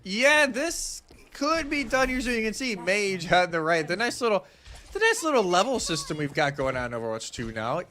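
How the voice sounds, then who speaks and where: A man's voice: high voice, big pitch swings, moderate pace, sharp tone. A man giving casual, analytical live commentary as an esports caster, with music faintly behind him.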